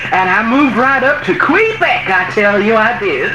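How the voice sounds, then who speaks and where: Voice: mid-range voice, expressive, measured pace, echoey room, a crowd in the background, natural voice. A performer voicing Mama Bear, a folksy older trucker with a Texan accent, rambling in homespun sayings over a noisy radio line. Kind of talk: improvised comedy chat.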